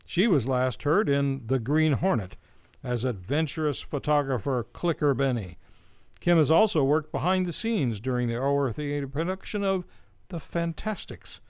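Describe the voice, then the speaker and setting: Theatrical male host, slightly high-pitched. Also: expressive, normal speed, a little mellow, clean tone, dry recording, clean studio sound. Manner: theatrical, grandiose showman's delivery. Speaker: radio play host and announcer. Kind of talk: vintage radio show introduction, scripted.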